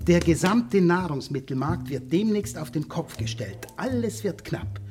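Ruthless businessman, pompous politeness, zealous, veiled menace, animated pitch, radio drama, faint music. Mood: smugly explanatory. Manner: courteous, measured, pompous politeness veiling threat. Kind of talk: German radio drama acting.

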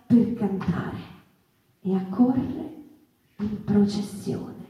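An actress performing a wistful, impassioned female storyteller in a stage monologue, halting and repeating phrases, putting rhetorical questions to listeners. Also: medium pitch, dark tone. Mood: hushed wonder. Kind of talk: theatrical monologue, dramatic storytelling. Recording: echoey room, distant microphone.

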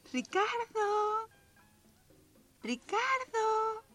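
An actress playing a playful, amorous and wistful widow in a radio drama, sighing and coaxing flirtatiously. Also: female voice, very high-pitched, bright, forceful tone, heavily acted.

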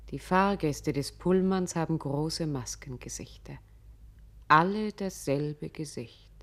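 A radio play narrator with a low-pitched voice. Her delivery is sober and measured, setting out each scene as plain description.

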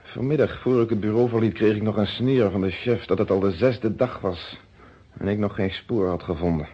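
A composed, husky-voiced police inspector. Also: male voice, on the low side. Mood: relieved, weary. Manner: measured, courteous, authoritative, formal wording. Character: composed, principled male police inspector, friendly but firm. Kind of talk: old radio drama acting. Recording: dull, band-limited old radio recording.

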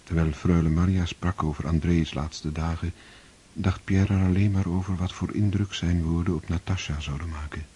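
A male narrator reading scripted narration for a radio play in a measured, solemn way. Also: sits low, steady tone, mellow, a little hiss or hum.

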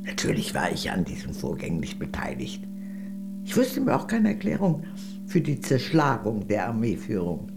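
Witty, animated old lady. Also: rumbling low, vocal fry, over music, full character voice. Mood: sober, reflective. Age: older. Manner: genteel, arch, unhurried confiding delivery. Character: witty, worldly old lady, former communist, evasive and gracious. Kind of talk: radio play dialogue.

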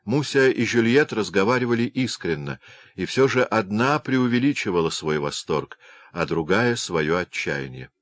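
A man narrating a literary novel as an audiobook, reading in a measured, even way. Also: fairly low pitch.

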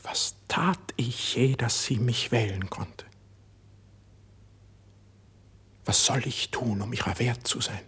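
An actor playing an idealistic, impulsive young poet in a classical German verse radio play, speaking in a fervent, declamatory way. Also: male voice, mid-range voice, a little slow, a bit bright, slightly rough, in a whisper. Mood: anguished, hushed. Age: young adult.

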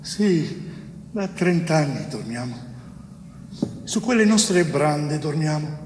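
A man's voice: fairly high pitch, animated, slow, slight background noise, voice pushed down. Man acting solemn male ghost, weary, incantatory repetition, theatrical, echoing reverberant space.

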